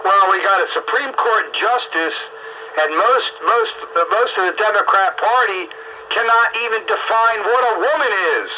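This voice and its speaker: Emphatic male talker, very bright and forceful; dull recording with slight hiss of noise. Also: sits high, moderate pace. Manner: emphatic, opinionated, repeats points. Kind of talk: casual political discussion. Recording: lo-fi audio with faint noise.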